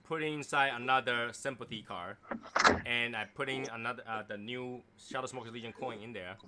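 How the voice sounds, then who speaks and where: A man's voice: medium pitch, fairly even intonation, fairly quick, very noisy. A man hosting a casual livestream chat, rambling and teasing his guests with a self-mocking humor. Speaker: livestream host.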